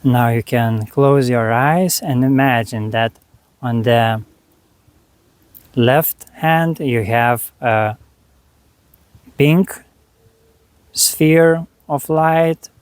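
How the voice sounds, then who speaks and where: Guy doing guided meditation, super slow, big gaps between little phrases, dry sound no room echo. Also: medium pitch, smooth. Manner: unhurried, short phrases with long pauses. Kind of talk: guided meditation, spoken slowly to the listener.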